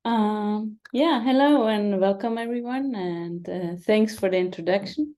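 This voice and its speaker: A woman's voice: medium pitch, a little slow, dark tone. Hesitant woman introducing a session. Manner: hesitant, many ums. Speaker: PhD candidate, session organizer. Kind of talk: conference presentation. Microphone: computer mic on video call.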